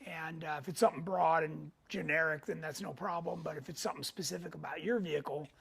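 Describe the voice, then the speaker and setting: A middle-aged man hosting a weekly live tech show in a casual way, hoarse, clearing his throat often and pausing on fillers. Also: a little high, steady tone, a bit bright.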